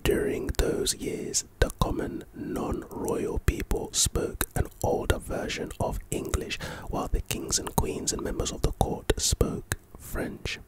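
A man speaks in a hushed, unvoiced whisper throughout, like a quiet ASMR lesson. He reads words and example sentences calmly, then slips in playful jokes and teasing asides. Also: very high-pitched, slow pace, hardly any pauses, poor audio. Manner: playful asides while reading. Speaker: ASMR host teaching vocabulary.

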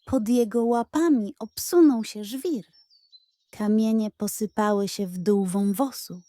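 A woman narrating a children's audio story in a dramatic, measured storytelling manner. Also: medium pitch, warm tone, dry recording.

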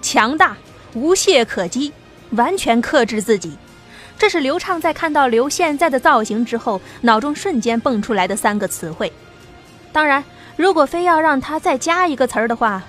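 A woman narrates an audio drama in a steady storytelling voice. Her pitch sits high. Faint music plays beneath her. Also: moderate pace.

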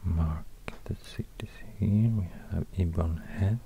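A man with a Swedish accent speaking in soft ASMR whispers, halting and murmuring as he goes. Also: low-pitched, gravelly, a little hiss or hum. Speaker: ASMR video host. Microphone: close binaural microphone.

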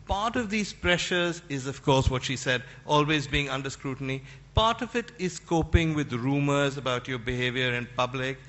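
A man's voice: medium pitch, normal speed, hardly any pauses, fairly bright tone, lo-fi sound. A middle-aged man interviewing guests on a conference stage, probing and wry, speaking into a desk microphone. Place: large conference hall stage. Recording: long hall reverb.

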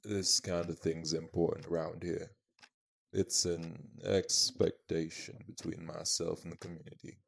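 An actor playing an imposing, protective vampire man in an audio roleplay, dry-witted, haughty and measured, with a gravelly voice. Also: low voice, level tone, full character voice.

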